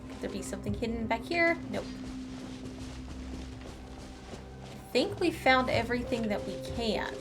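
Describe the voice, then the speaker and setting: A woman's voice: on the high side, expressive, measured pace, pauses often. A woman streaming a video game, casually thinking aloud and reading out what is in the game. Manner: thinks aloud in short remarks. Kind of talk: casual live playthrough commentary, reading game text aloud. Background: game music.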